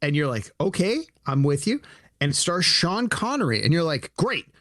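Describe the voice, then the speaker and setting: Man, podcast co-host, high voice, bouncy pitch, dry close sound, animated storytelling. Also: normal speed, dry recording. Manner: animated, acts out imagined dialogue. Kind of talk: casual chat.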